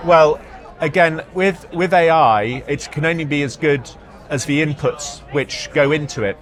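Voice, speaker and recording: A man speaking as an executive in a product interview, halting, often repeating words and hesitating as he explains. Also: fairly high pitch, a faint crowd. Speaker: marketing executive being interviewed. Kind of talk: product interview at a trade show. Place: trade show floor.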